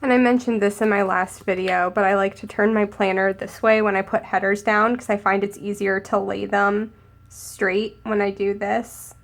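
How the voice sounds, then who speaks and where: A young woman with a cold, a little hoarse and sniffly, chatting casually as she narrates decorating her planner. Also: medium pitch. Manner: chatty, upbeat, thinking aloud while crafting. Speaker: planner vlogger.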